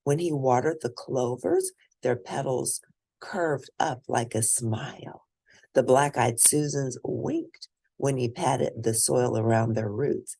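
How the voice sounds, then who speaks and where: Very low-voiced woman reading a storybook. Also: takes it slowly, dark tone, breathy edge. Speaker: host reading picture books aloud. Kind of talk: read-aloud children's storybook narration.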